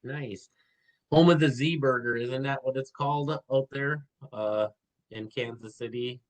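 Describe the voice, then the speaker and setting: A man's voice: mid-range voice, measured pace, poor audio. A man teaching a class online, giving brief, friendly acknowledgements as students introduce themselves. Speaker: instructor. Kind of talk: class discussion.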